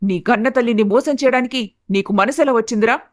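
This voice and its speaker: A woman playing a bitter, status-obsessed middle-aged mother-in-law in an animated family story, indignant, sarcastic and scolding. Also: on the high side, fairly quick, close to an everyday voice. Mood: bitter, outraged.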